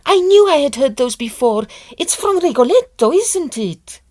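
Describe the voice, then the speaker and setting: A woman's voice: sits high, big pitch swings, moderate pace. A woman playing a refined, affectionate lady of the opera in an audio drama. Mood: curious. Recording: loud music behind the voice.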